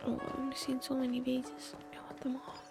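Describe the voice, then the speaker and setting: A woman's voice: high-pitched, monotone, moderate pace, gravelly, in a whisper. A woman game streamer giving casual live reactions to the story she is playing, with game music behind her. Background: video game music and dialogue.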